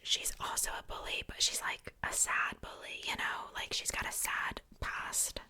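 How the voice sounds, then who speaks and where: A woman whispering softly in a casual, chatty ASMR style, rambling along with conversational asides. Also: normal speed. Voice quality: soft unvoiced whisper. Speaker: ASMR video host showing a doll collection.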